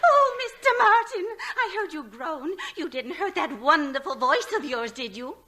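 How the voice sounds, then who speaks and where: Older club lady, high swooping voice, bright, gushing, coy, vintage radio comedy. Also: big pitch swings, moderate pace, exaggerated voice. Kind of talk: 1940s radio comedy acting.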